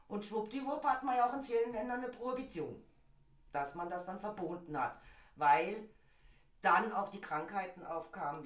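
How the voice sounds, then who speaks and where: A middle-aged woman, slightly hoarse from a cold, chatting in an opinionated way as a podcast co-host. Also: deep voice, reverberant. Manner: chatty, opinionated, laughs easily. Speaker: podcast co-host presenting a topic. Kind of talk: casual opinion chat.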